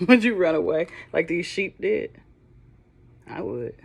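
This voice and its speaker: Slow-talking woman reading to children. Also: on the low side, very animated, vocal fry, slight background noise. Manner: gentle, chatty, affectionate asides to children. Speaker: storybook reader for children. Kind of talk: reading a children's Bible story aloud.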